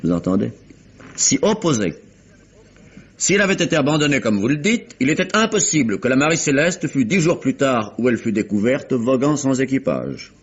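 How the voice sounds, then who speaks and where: Imperious, animated male prosecutor, husky. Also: mid-range voice, normal speed. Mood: emphatic, indignant. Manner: formal, pointed, theatrical questioning. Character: imperious, insistent English male public prosecutor interrogating witnesses. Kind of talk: 1950s-style French radio mystery acting. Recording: dull, muffled vintage radio recording.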